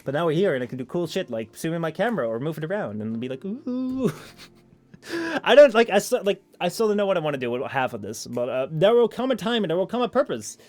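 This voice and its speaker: A man's voice: on the high side, very animated, fairly quick, darkish tone. A young man with a Dutch accent chatting casually on his stream, rambling with lots of fillers and swearing. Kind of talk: casual stream chat, reading out chat messages.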